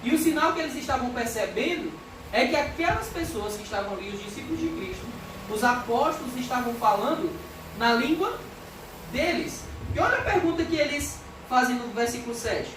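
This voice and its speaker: A woman's voice: high-pitched, lively intonation, fairly quick. Woman preaching in an echoey hall. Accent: Brazilian Portuguese. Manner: earnest, emphatic, reads scripture aloud. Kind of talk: expository sermon. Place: church hall. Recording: echoey church hall from far off, background noise.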